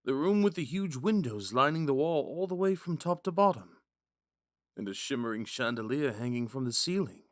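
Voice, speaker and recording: A man playing a kind, shy young prince in a romantic ASMR roleplay, speaking gently and hesitantly. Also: mid-range voice, animated, moderate pace, dry recording, clean studio sound, natural voice. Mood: thoughtful. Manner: soft-spoken, hesitant, stammering when flustered. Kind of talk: ASMR roleplay, scripted monologue to a listener.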